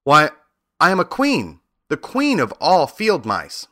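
Storybook mouse queen, male reader: small, squeaky, high-pitched, proud and excitable. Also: moderate pace. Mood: indignant. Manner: regal, excitable.

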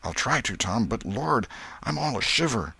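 A man voicing a superstitious country boy in a storybook, hushed with dread and drawling in rustic dialect. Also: on the low side, expressive, moderate pace, vocal fry, slightly rough, no echo, heavily acted. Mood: terrified.

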